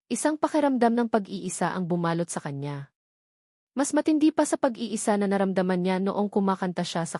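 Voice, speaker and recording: A woman narrates a romance story from a script. Her delivery is dramatic storytelling at a middling pitch, recorded close and dry. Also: darkish tone, no room sound.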